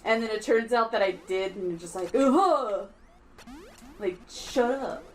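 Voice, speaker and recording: A woman's voice: a little high, unhurried, rough recording. A woman chatting casually and teasingly while watching a retro game being played. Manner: playful, teasing, giggly. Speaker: co-host watching a playthrough. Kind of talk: casual gaming chat.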